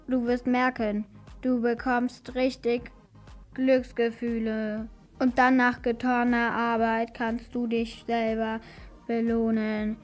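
A female performer playing a stern, matter-of-fact household robot in a children's radio play, instructing in a deliberate way. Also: a little high.

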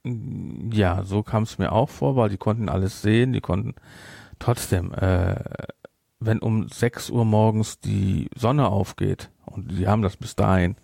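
A man co-hosting a podcast in casual chat, retelling and reviewing in a halting way with repeated words. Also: lowish voice, steady tone, vocal fry, mellow, dry recording, clean studio sound. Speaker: podcast host.

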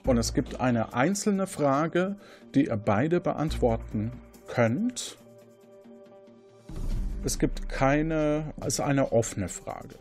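A man's voice: mid-range voice, animated, slow, darkish tone, faint music behind. A man hosting a quiz, moderating a game show and explaining questions and points.

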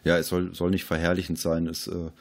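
A man's voice: low-pitched, monotone, fairly quick, vocal fry. A man chats casually about films as a podcast host. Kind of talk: casual film discussion.

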